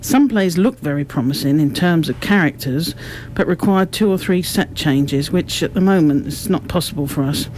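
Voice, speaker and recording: A woman's voice: low voice, expressive, normal speed, a little mellow, low-quality recording. A woman giving articulate, explanatory, prepared-sounding answers in a radio interview. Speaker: amateur drama group member being interviewed. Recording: noticeable background noise.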